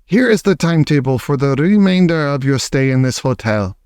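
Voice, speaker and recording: A man's voice: a little high, animated, a little fast, darkish tone, clean tone, no room sound, clean studio sound, lightly acted. A man reading an audiobook, voicing a bossy, contemptuous female witch leader who barks orders. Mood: commanding. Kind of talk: audiobook character voice.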